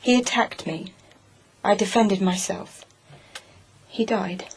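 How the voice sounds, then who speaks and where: A woman's voice: mid-range voice, takes it slowly, a little hiss or hum. An actress playing a troubled, guarded noblewoman in a radio drama, speaking hesitantly and in a subdued way. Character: troubled royal-blooded lady, guarded, fragile.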